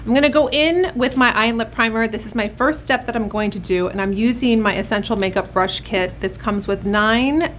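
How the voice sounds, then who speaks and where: A woman with a fairly high voice presents a beauty tutorial as a makeup artist. She addresses her viewers in an upbeat, encouraging manner. There is noticeable background noise in the recording. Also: brisk, few pauses, a bit bright. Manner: upbeat, encouraging pep-talk delivery.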